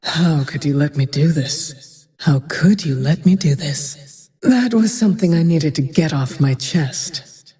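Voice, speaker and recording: An actress playing a cold, bitter, vengeful female archer in a video game, breathy and husky, with a grim, disdainful, deliberate delivery. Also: deep voice, dark tone, heavily acted. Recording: long cavernous reverb.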